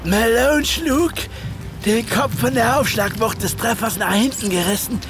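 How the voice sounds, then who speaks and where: An actor playing a cold ex-soldier killer, narrating in a measured, dramatic way for an audio drama. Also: male voice, extremely high pitch. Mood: grim, deliberate. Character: cold, deliberate male ex-soldier killer recounting murder. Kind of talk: scripted first-person audio drama narration. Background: music.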